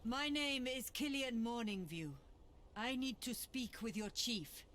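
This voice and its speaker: A woman's voice: fairly high pitch, lively intonation, a little slow, background noise. A woman playing a noble, resolute hero commander in a video game, firm, earnest and formal.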